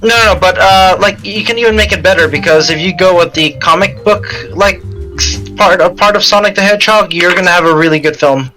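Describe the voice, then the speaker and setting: A man co-hosting a casual podcast, explaining lore in an earnest, halting, long-winded way. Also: high voice, pushed and bright, faint music behind, rough recording. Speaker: podcast co-host.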